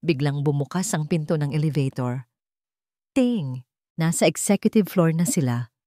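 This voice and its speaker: Lady reading a love story, dramatic measured telling, kinda low voice. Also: expressive, mellow, dry recording, clean studio sound. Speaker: narrator. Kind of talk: scripted romance story narration.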